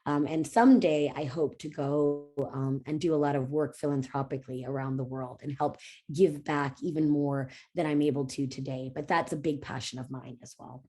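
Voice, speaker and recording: A middle-aged woman speaks from a conference stage, giving a personal keynote. Her voice sits low and mellow. She tells her story earnestly, with frequent ums and small restarts. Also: fairly even intonation, normal speed.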